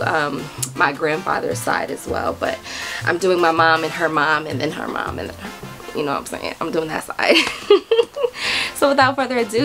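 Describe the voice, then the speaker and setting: Brief: young American woman vlogger, lower voice, bubbly chatter, faint music bed. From United States. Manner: bubbly, giggly, chatty with many fillers. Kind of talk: casual vlog chat to camera.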